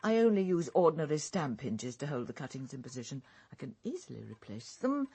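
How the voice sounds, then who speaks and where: Genteel old royalist lady in her 80s, low lilting voice, radio play, noisy background. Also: sing-song, fairly quick, mellow. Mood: fond, obliging. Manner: genteel, chatty, fond. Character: genteel eighty-five-year-old female royalist, devoted scrapbook keeper. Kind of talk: radio drama acting.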